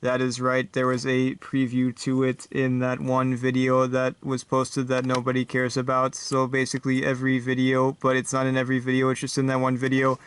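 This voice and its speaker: Guy doing a resigned robot sidekick, slow flat stiff talk, super literal n deadpan. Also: mid-range voice, clean tone, recorded from a distance, natural voice.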